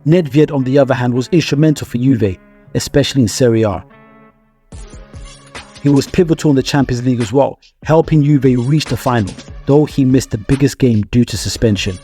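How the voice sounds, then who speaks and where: A man's voice: mid-range voice, a little fast, dark tone. A man delivering scripted sports analysis as a video narrator, with emphatic phrasing and rhetorical questions to the viewer. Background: music.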